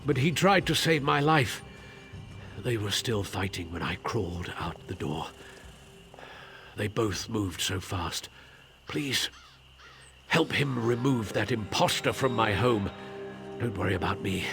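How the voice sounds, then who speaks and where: An actor playing a wounded, grieving husband in a video game, pleading urgently in a gravelly, pained voice. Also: male voice, on the low side, expressive, a little slow, pauses often, a bit bright, soft background music, heavily acted. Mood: weak, pleading.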